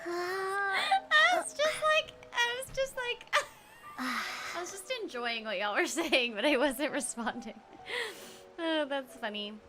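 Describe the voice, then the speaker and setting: A bubbly, giggly woman from Texas chats to her live stream audience while she plays. Her voice is high and bright and swoops all over the place, her pace is unhurried, and faint game music plays behind her. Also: big pitch swings.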